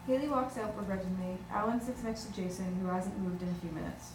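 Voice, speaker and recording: A woman reads scripted stage directions at a brisk pace. She is recorded in an echoey room, with clear noise. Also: medium pitch. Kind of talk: scripted narration at table read. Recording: distant, echoey, noisy room.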